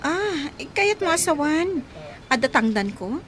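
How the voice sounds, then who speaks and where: A woman's voice: high voice, sing-song, a little slow, slight background noise. A woman playing a curious, chatty village woman in a radio drama, asking questions in an eager, inquisitive manner. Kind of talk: Ilocano radio drama acting. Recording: busy crowd sound behind.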